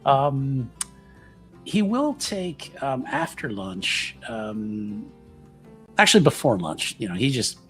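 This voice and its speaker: A man taking part in a tabletop roleplaying game, casually improvising what his character does and thinking aloud in a halting, jokey way. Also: medium pitch, sing-song, faint music behind.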